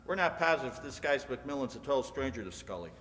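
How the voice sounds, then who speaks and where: A man playing a practical, cautious police detective in a radio crime drama, brisk and businesslike, in an echoey hall. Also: medium pitch, lively intonation, fairly bright tone, a little hiss or hum, natural voice. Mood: businesslike, doubtful.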